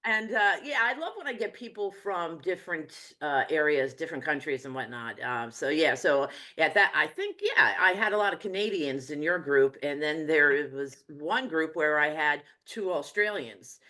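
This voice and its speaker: Deep-voiced woman, New Jersey accent, loose and chatty guest. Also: moderate pace, few pauses. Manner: relaxed, rambling, with many fillers. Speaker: medium and teacher. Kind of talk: casual interview chat.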